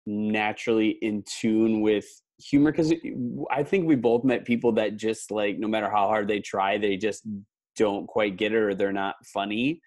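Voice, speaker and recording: A friendly host runs a casual interview, asking open questions. The phrasing is loose and halting, with frequent restarts. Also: medium pitch, fairly even intonation, a little mellow.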